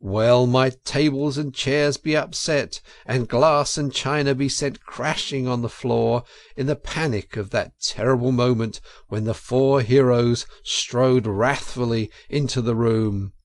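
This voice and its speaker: A man narrating an audiobook story in a measured, expressive and dramatic storytelling style. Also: mid-range voice, no echo, clean studio sound.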